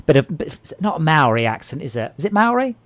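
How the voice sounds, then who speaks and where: A man with a southern English accent chatting excitedly and jokily with friends while playing a game online. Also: on the high side, fast talker, no echo. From England. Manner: chatty, excitable, jokey, tangents. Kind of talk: casual gaming chat.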